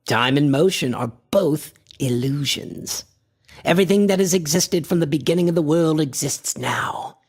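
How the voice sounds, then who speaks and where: Man playing eccentric antiquarian mystic, radio horror drama, husky voice, swooping impassioned declamation, pompous. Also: fairly high pitch. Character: obsessed male antiquarian visionary, eccentric and grandiose.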